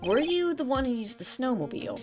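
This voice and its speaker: A woman's voice: high-pitched, big pitch swings, a little fast, over music, pitched above the performer's own voice. A woman voicing a stern, formal male prosecutor who questions in a controlled, probing way for a video game. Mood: stern, questioning.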